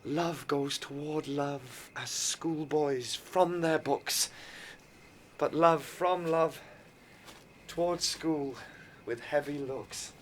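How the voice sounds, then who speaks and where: A man's voice: fairly high pitch, drawn-out words. A dreamy young lover of noble birth with a British accent. He delivers classical verse with ardent, sighing breathiness, and there is faint noise in the background. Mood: dreamy, adoring. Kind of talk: classical verse audio drama.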